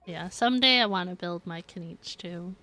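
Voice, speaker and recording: A woman's voice: fairly low pitch, moderate pace, sharp tone, faint music behind. A woman chatting casually on a game live stream, rambling and giggly as she talks to viewers.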